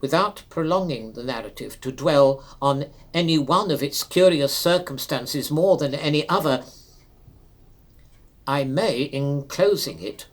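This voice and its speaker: A man reads a classic ghost story as a dramatic narrator. His delivery is slow and solemn, with measured, theatrical phrasing that gives each clause its own space. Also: on the high side, expressive.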